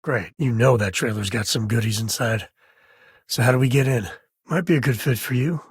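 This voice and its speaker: An actor playing a rugged space-ranger father in a video game, with a husky, raspy voice and a wry, earnest, conversational manner. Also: mid-range voice, normal speed, dry recording, studio quality. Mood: wry, steady. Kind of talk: video game character voice lines.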